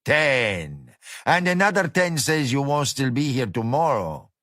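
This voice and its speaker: A man's voice: mid-range voice, very animated, a little slow, no room sound, studio quality. A man voicing a burly, mocking male restaurant owner who speaks English. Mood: gruff mocking. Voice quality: loud, brash, laughing.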